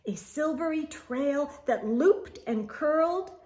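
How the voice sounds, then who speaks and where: A woman storyteller reading a children's picture book aloud in a dramatic, expressive way for young listeners. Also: sits high, slow. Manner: dramatic, drops into whispers, addresses young listeners.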